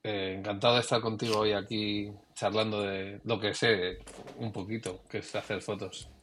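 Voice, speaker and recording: Bright-voiced Spanish man telling stories. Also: fairly low pitch, flat delivery, normal speed, a little hiss or hum. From Spain. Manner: reflective, storytelling, trailing restarts. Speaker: guest photographer. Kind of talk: conversational interview.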